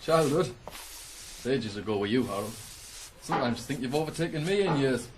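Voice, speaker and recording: An actor in a 1980s radio drama playing a cheeky farm workmate, talking in a teasing, bantering way. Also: male voice, mid-range voice, lively intonation, moderate pace, close to an everyday voice. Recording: faint noise on old radio broadcast.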